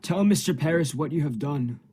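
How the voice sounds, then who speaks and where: A man acting a forceful, suspicious Puritan husband in a radio play, insistent and formal in archaic language. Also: a little high, lively intonation, normal speed, slight background noise, close to an everyday voice. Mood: accusing, insistent. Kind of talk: period stage drama acting, radio play.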